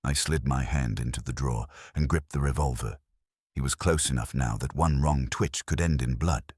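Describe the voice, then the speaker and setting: A man's voice: rumbling low, normal speed, darkish tone, husky, dry recording, clean studio sound. A man narrating a scripted first-person detective story in a dramatic style.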